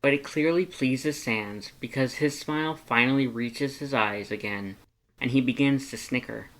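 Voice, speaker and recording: A narrator reading fan fiction aloud in an expressive storytelling style. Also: medium pitch, moderate pace, poor audio.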